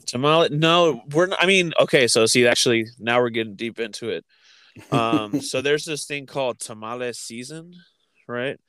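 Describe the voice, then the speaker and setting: A man with a smooth, resonant voice talks casually, recorded very dry. He co-hosts a chat and often halts and restarts as he thinks aloud. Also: medium pitch, moderate pace, fairly bright tone, dry recording. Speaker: podcast co-host.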